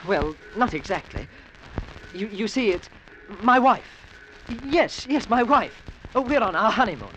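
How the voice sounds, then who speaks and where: A man's voice: high-pitched, measured pace, a little hiss or hum, full character voice, rough recording. A plucky young Englishman. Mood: eager. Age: young adult. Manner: genteel, polite, excitable. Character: earnest young Englishman, flustered but plucky. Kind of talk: 1940s radio drama acting and narration.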